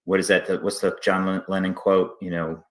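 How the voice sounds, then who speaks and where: A man hosting a casual interview, speaking haltingly and often restarting his sentences. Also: low voice, level tone, a bit bright. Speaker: interview host, theater artistic director.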